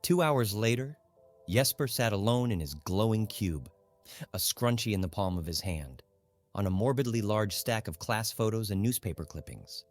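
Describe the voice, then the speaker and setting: A man's voice: lowish voice, animated, moderate pace. A man narrating a literary audiobook in a scripted, dramatic storytelling style.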